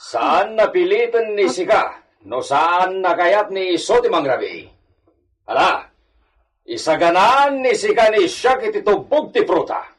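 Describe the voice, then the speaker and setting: Man acting an imperious high-voiced landowner, swooping delivery. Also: expressive, a little slow, slightly rough, exaggerated voice, rough recording. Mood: weary, stern. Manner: formal, lordly speech with Spanish words. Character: imperious Spanish-styled landowner, stern male master. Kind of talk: Ilocano radio drama acting.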